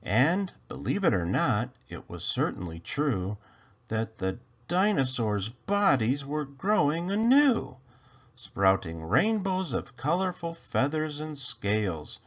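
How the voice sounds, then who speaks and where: A man reading a rhyming children's story aloud in an expressive, theatrical way with drawn-out phrasing. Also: medium pitch, lo-fi sound.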